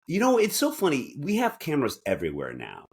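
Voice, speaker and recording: A man's voice: on the high side, huge pitch swings, fairly quick, vocal fry, slightly rough. A man co-hosting a podcast, chatting casually with a wry, joking manner. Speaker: podcast co-host. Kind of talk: casual comedic chat.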